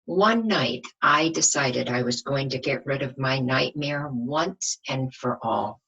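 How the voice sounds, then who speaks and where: A woman reading a picture book aloud to young children like a teacher, expressive, often asking the listeners questions. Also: a bass voice, takes it slowly, vocal fry, breathy edge, muffled recording. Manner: asks the listeners questions, dramatic emphasis.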